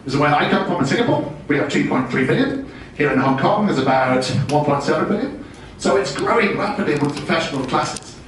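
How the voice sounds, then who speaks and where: A man's voice: on the high side, lively intonation, a little fast, noisy background. British man presenting in an echoey hall. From United Kingdom. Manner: jokey, self-deprecating. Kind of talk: business seminar talk. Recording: very echoey room, distant mic.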